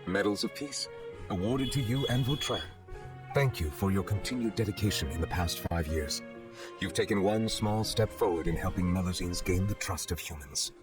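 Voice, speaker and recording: A man playing a calm, dignified chief justice in a video game, speaking in a measured, formal manner. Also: low voice, music in the background, lightly acted. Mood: solemn.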